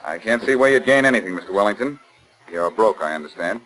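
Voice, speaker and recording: Brash wisecracking detective for vintage radio drama, lowish voice, very animated intonation, insistent. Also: male voice, fast talker, rough recording. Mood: accusing. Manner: blunt, insistent. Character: brash, persistent male amateur sleuth, wisecracking and confident. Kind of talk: 1940s radio acting. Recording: 1940s radio broadcast recording.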